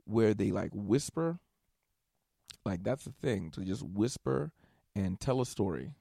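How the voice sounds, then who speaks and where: Gravelly, profane young man podcasting. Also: fairly low pitch, unhurried, dry recording, studio quality. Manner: rambling, profane, animated asides. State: tired, awake all night. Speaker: podcast host. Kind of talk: casual solo podcast monologue.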